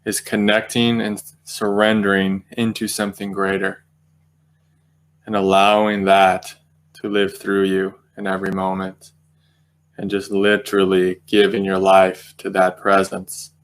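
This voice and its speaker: A man with a low voice guides a meditation in a very flat, even tone. He speaks gently and unhurriedly, leaving long pauses between phrases as he finds his words. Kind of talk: guided meditation, unscripted spiritual talk.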